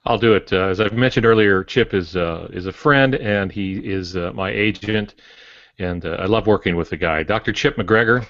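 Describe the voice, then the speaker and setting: An older man co-hosting a podcast, speaking informally and haltingly with chatty asides. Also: lowish voice, fairly bright tone. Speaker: podcast co-host, author. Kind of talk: informal guest introduction. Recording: dull, dry lo-fi audio.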